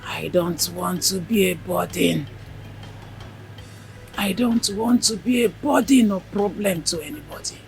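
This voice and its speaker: An actress playing a frail elderly grandmother who gives slow, solemn blessings. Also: lowish voice, gravelly, a little mellow, soft background music. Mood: sorrowful. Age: older.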